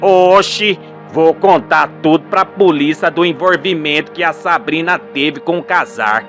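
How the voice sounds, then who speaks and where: Radio soap role: vengeful old rustic dad played by a male narrator, folksy, indignant, unhurried, light music bed. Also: on the high side, fairly bright tone. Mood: indignant, gleeful. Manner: folksy, emphatic rustic speech. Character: older rural father, indignant, vengeful, colloquial.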